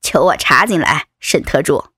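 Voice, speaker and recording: Woman putting on a husky, domineering male executive. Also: low-pitched, lively intonation, moderate pace, fairly bright tone, no echo, studio quality, voice pushed down, exaggerated voice. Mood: mocking. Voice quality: husky, creaky. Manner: mocking, commanding. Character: domineering, taunting male corporate vice president. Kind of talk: dramatized adult audiobook dialogue.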